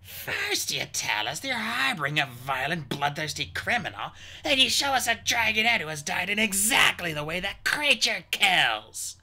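A woman's voice: mid-range voice, big pitch swings, normal speed, few pauses, sharp tone, pitched above the performer's own voice, full character voice. A woman voicing a hostile, suspicious male dragon who snarls accusations in a storybook reading. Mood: accusing.

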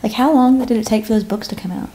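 A woman's voice: on the high side, steady tone, fast talker, mellow. A young adult woman co-hosting a podcast in casual chat, speaking informally and repeating phrases for emphasis. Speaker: podcast co-host. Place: living room, on a sofa.

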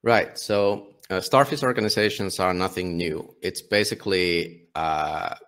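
The deep-voiced Finnish man you'd hear as a guest on an independent podcast, native in Finnish, speaking his mind bluntly and casually. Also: a little slow, fairly bright tone. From Finland. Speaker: podcast guest, volunteer book publisher. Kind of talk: casual interview talk.

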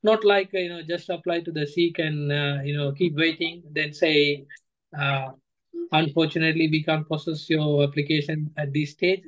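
Higher-pitched Sri Lankan man, halting. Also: steady tone, fairly bright tone, poor audio. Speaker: guest, former coaching student. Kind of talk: interview answers, personal account. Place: indoor room, on a video call.

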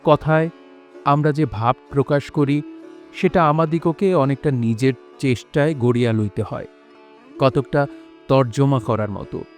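A man narrating a literary story in a formal, measured, solemn style. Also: mid-range voice, steady tone, darkish tone, faint music behind, lightly acted. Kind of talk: scripted literary narration in old formal Bengali.

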